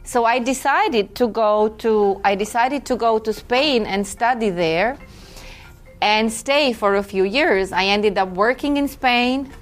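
A woman's voice: fairly high pitch, slow, soft background music. A woman from Croatia, a guest in an interview, talking in a reflective, chatty way with a few self-corrections. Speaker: guest, film festival director. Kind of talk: relaxed conversational interview.

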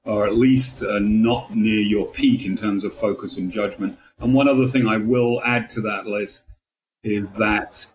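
Male interview guest, financial planner, lower-pitched voice, articulate and measured in delivery. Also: fairly even intonation, poor audio. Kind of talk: radio interview answers.

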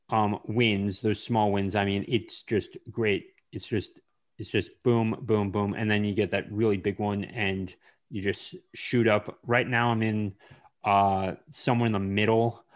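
A man hosting a podcast interview, speaking haltingly with many pauses and fillers. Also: on the low side. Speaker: podcast host. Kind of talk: casual interview chat.

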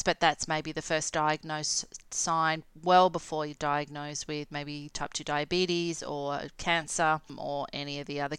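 Female, late 40s, Australian. Bright, clean tone, close dry sound; candid, casual solo storytelling. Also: sits low, clear, resonant tone, dry recording. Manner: conversational, candid. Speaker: podcast host and health coach.

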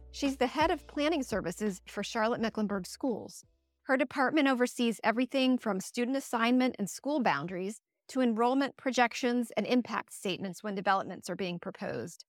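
A woman hosting a podcast, reading a scripted intro and then asking conversational interview questions. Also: fairly high pitch. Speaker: podcast host.

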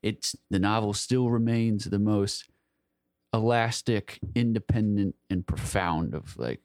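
Male podcast co-host, lowish voice, dry sound. Halting, repetitive, searching delivery in informal literary discussion. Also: darkish tone, dry recording. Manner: halting, searching for words, repeating phrases.

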